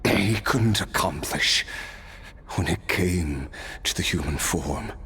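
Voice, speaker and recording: An actor playing a weary immortal man recounting his youth in a measured, wistful but resolute way for a video game. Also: low-pitched, bright, forceful tone, exaggerated voice. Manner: measured, unhurried. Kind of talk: game voice acting, reflective storytelling.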